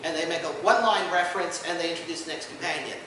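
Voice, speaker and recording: A very echoey, noisy room carries this man's voice from some distance. He is presenting at a fan panel in a high-pitched voice, informal and detailed in his explanations. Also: very fast, pushed and bright. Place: convention panel room, indoors.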